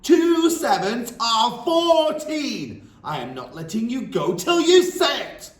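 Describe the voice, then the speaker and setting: A man's voice: a little high, big pitch swings, pitched above the performer's own voice. A man voicing a huge, tyrannical headmistress who barks and bellows at children, in a storybook reading. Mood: bellowing. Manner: bellowing, barking, drawn-out threats. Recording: roomy, distant mic, faint ambience.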